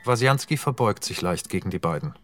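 A man narrating stage directions in a radio drama, reading in a matter-of-fact, even way. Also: lowish voice, brisk. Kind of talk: scripted narration.

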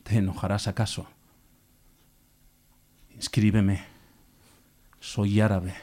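A man with a low, mellow voice recites poetry like a stage actor. His delivery is declamatory and emphatic, broken by deliberate pauses. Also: flat delivery.

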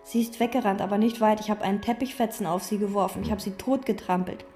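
An actress playing an obsessive, lonely young chambermaid who speaks in terse, intense lines and inner monologue in a radio drama. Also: female voice, medium pitch, quick pace, warm tone, faint music behind, natural voice. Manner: terse, intense, sometimes whispered.

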